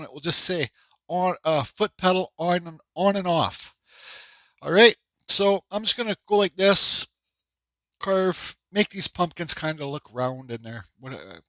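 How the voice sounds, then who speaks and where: Stuffed-up man teaching woodcarving, bright voice. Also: on the high side, no echo, poor audio. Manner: rambling, friendly, with many fillers and restarts. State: stuffed up, congested. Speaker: woodcarving instructor. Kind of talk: casual how-to narration. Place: home workshop bench.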